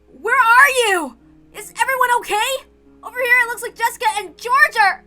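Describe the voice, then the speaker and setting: A woman voicing a distraught young man who exclaims in loud, panicked anguish for a dramatic story reading. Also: very high voice, moderate pace, soft background music, pitched above the performer's own voice. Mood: alarmed.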